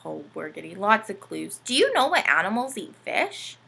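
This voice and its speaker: A woman's voice: medium pitch, normal speed, creaky, slightly rough. A woman hosting a children's storytime, talking playfully to young listeners and asking them lots of questions. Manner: animated, addresses children with frequent questions. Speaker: children's read-aloud host with a puppet sidekick. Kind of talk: playful children's storytime presenting and read-aloud.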